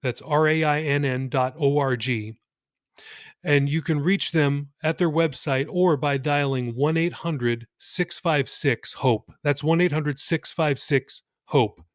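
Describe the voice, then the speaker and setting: A man's voice: a little high, takes it slowly, no echo, clean studio sound. A man who hosts and narrates a podcast, speaking to listeners in an earnest, plain-spoken way. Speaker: podcast host, author and narrator. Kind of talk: narration and direct address to listeners.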